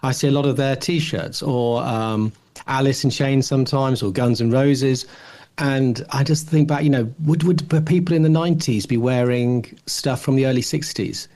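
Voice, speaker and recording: A man's voice: medium pitch, normal speed, a little mellow. A man in his forties co-hosting a podcast, casually rambling through his opinions and thinking aloud with frequent false starts. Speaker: podcast co-host.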